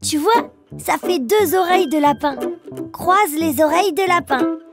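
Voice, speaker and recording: A very high, childlike voice for a proud little boy bear cub in a children's cartoon. He talks in simple, eager phrases at an unhurried pace, like a small child explaining step by step. Children's music plays clearly behind him. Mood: chatty, instructive. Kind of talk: children's cartoon, instructive nursery narration.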